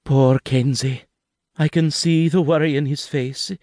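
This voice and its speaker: A man's voice: fairly high pitch, fairly even intonation, measured pace, darkish tone, no room sound, studio quality, voice pushed up, close to an everyday voice. Worried old grandmother, as a man voices her. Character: older Highland grandmother, caring and worried.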